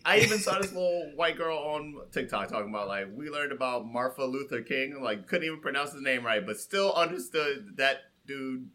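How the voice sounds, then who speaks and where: Animated man with a bright, forceful voice. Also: mid-range voice, moderate pace, hardly any pauses. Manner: animated, slangy, swearing, acts out imagined reactions. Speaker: podcast co-host. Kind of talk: casual, comic film discussion.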